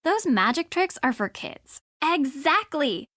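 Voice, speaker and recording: High-voiced, snarky young woman. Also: sing-song, slow, a bit bright, no room sound, clean studio sound, full character voice. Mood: dismissive. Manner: blunt, snarky. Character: brash, sarcastic, impatient young woman sleuth. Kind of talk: video game voice acting.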